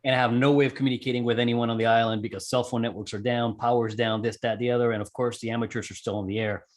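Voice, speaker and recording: A man's voice: medium pitch, flat delivery, very fast. A man from Puerto Rico telling his story casually as an interview guest, colloquial and acting out his own thoughts. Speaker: interview guest, amateur radio operator and skydiver.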